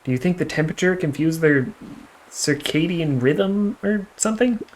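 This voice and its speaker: A man's voice: fairly high pitch, expressive, moderate pace, pitched above the performer's own voice, lightly acted, poor audio. A man voicing an earnest, distracted young boy in a video game, with a questioning manner. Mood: earnest, puzzled. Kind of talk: video game character read-aloud. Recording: music playing behind the voice.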